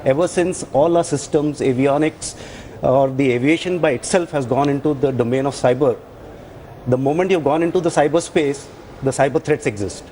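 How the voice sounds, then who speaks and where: A man's voice: medium pitch, normal speed, mellow, slight background noise. An older man with an Indian accent giving careful, qualified expert answers in an interview, repeating points for emphasis. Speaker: retired air force officer, expert guest.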